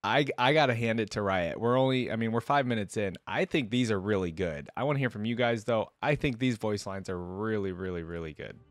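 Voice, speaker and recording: A man with a low voice hosts a reaction video, commenting casually. He repeats himself and echoes lines he has just heard. The recording is dry and close. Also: brisk, few pauses. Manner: repeats words and quotes back what is heard.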